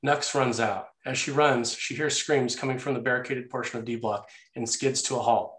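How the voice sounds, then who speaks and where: Guy reading screenplay action lines as narrator, normal pitch, echoey room. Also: fairly even intonation, normal speed, fairly bright tone.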